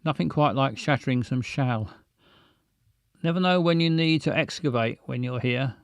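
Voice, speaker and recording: A man's voice: mid-range voice, normal speed, no room sound. Rambling man hosting a stream. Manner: stammering, rambling, self-mocking. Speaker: livestream host. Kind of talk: casual chat with viewers.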